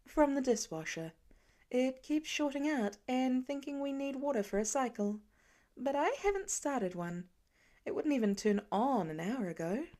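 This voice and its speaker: A woman voicing a patient, caring mother with a gentle, reassuring manner in a story reading. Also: high voice, animated, moderate pace. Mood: apologetic, explaining. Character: patient, caring mother of young and teenage children. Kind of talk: audiobook character voice.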